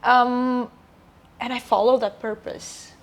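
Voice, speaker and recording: A woman's voice: on the high side, little pitch movement, slow. A woman from Romania, a native Romanian speaker and opera soprano, talking reflectively in a casual interview with many fillers and restarts.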